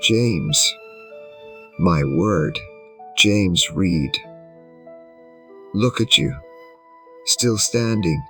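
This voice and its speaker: A man voicing a smug, patronising English gentleman of sixty-one in an audio drama, pompous and effusive in his phrasing. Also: low voice, lively intonation, drawn-out words, pauses often, soft background music, exaggerated voice. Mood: effusive, condescending. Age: older. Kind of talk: audio drama character dialogue.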